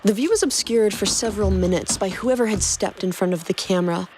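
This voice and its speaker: A woman's voice: mid-range voice, a little fast, background noise. A woman doing dramatic scripted narration of fan fiction as a podcast host. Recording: music clearly behind the voice.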